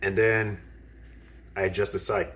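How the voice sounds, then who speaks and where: Low-voiced man over clear background noise. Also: level tone, unhurried. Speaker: video host demonstrating gear. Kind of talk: casual product demonstration. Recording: clearly noisy recording.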